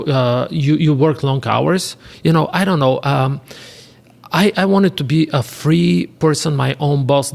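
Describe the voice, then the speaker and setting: Man, Bulgarian accent, creaky low-end fry, medium pitch, halting phrasing, casual interview answers. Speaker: podcast guest, real estate investor. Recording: reverberant, hall-like room.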